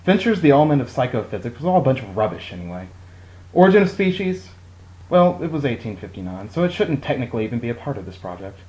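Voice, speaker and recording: A vain, resentful nineteenth-century monk scientist played by a man in a comic puppet show. He talks fast, with big swings in pitch. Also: very animated, darkish tone, close to an everyday voice, low-quality recording. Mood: vain, dismissive.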